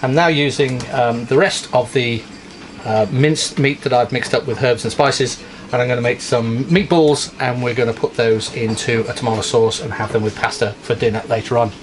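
A man's voice: medium pitch, fairly even intonation. Man, fifties, sailing vlogger, chatty, wry, boat cabin, handheld camera, background noise. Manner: chatty and wry, with filler pauses. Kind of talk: casual vlog narration. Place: inside a sailboat cabin.

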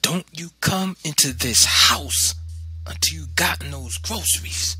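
A hard, unbending mother as a male narrator plays her, lifted in pitch with a forceful edge. She speaks in firm orders in a storybook reading and allows no argument. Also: animated, normal speed, slightly rough, faint music behind, exaggerated voice. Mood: harsh, threatening. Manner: commanding, deadly firm, Southern colloquial phrasing. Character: stern, unyielding mother of a young boy. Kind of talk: dramatized audiobook narration.

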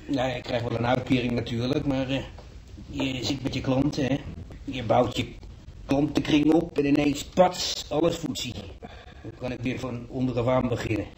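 A man playing a folksy, grumbling market trader in a radio play, speaking in a halting, plaintive, colloquial way. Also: medium pitch, steady tone, darkish tone, a little hiss or hum, close to an everyday voice, poor audio. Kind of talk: Dutch radio drama acting.

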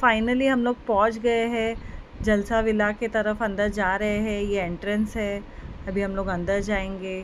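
A woman's voice: mid-range voice, flat delivery, slight background noise. A woman narrating a casual group-outing vlog, mixing English phrases into her chatty commentary. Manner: slow, unhurried narration. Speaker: vlogger. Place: outdoors at a villa and lakeside.

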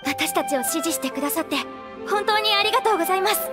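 High, earnest young stage actress. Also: female voice, expressive, fast talker, in a large hall, over loud music. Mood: grateful. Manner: polite, feminine phrasing. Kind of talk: Japanese anime game voice acting.

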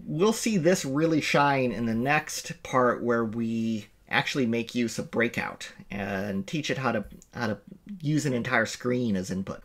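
A middle-aged man teaching a coding lecture over a screen recording, explaining in a relaxed way with small restarts, recorded in a home office. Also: medium pitch. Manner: explanatory, self-correcting, relaxed. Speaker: instructor.

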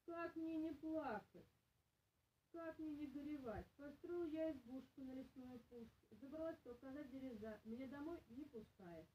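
A woman's voice: sits high, moderate pace, warm tone, highs cut off, a little hiss or hum, close to an everyday voice. A woman voicing a small, sorrowful hare from a folk tale with a plaintive, lamenting delivery. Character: small tearful grey hare, helpless and sorrowful. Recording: roomy, distant camera microphone.

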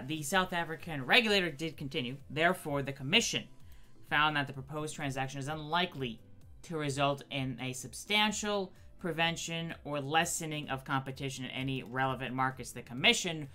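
Thirties male streamer with a raspy, nasal voice from allergies; bright tone, chatty news read at home, faint music under. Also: on the high side, moderate pace. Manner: chatty, many ums, reads quotes from screen. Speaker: gaming news host. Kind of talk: casual news commentary.

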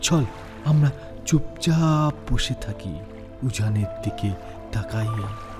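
A man voicing a tender, devoted young village lover, soft and consoling, in an audio drama. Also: medium pitch, slow, natural voice. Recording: music behind the voice.

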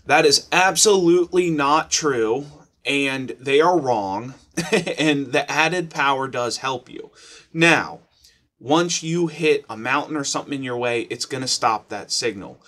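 A man's voice: mid-range voice, expressive, measured pace, pushed and bright. A man in his thirties with an American accent, explaining things casually and bluntly to camera as a video host. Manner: opinionated, conversational, blunt. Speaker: host of an emergency radio advice channel. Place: furnished room at home.

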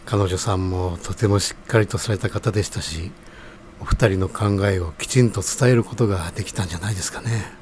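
A man playing a kindly middle-aged bar owner in an audio drama, polite, soothing and measured. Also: deep voice, darkish tone, a little hiss or hum, lightly acted. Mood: reassuring. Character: kindly older male bar owner, calm, fatherly, courteous.

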